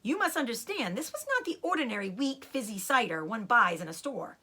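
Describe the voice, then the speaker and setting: A woman reading a children's book aloud in an expressive, dramatic way, with short asides about the pictures. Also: a little high, fairly quick, husky. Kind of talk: animated storybook read-aloud with picture asides.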